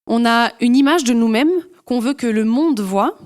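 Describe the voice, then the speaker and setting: A woman interpreting a sermon live, sentence by sentence, in a relaxed way with frequent "euh" fillers. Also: on the high side, normal speed, clean tone.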